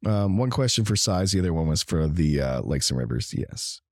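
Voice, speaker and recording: Deep, husky man losing his voice. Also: sing-song, darkish tone, dry recording, studio quality. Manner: halting, rambling. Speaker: livestream and podcast co-host. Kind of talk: casual gaming talk.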